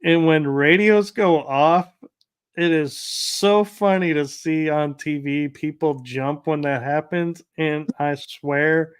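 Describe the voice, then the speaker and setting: A man's voice: on the high side, takes it slowly, smooth. A man hosting a casual interview, telling rambling anecdotes with light laughs. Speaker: podcast host.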